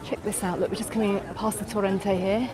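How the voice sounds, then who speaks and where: A woman's voice: mid-range voice, fairly even intonation, brisk. Chatty English woman walking a busy promenade. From England. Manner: chatty, answers viewers' chat questions as she walks. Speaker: travel vlogger. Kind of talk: live walking tour vlog. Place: outdoors on a busy beach promenade at dusk. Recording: outdoor live stream with crowd noise. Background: crowd chatter and passing traffic.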